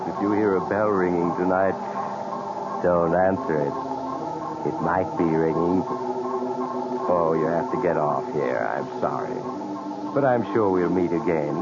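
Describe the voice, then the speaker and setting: A sinister yet genial storyteller with a deep voice, sly and insinuating. He hosts a 1940s radio thriller on a dull recording with prominent music behind him. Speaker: series host.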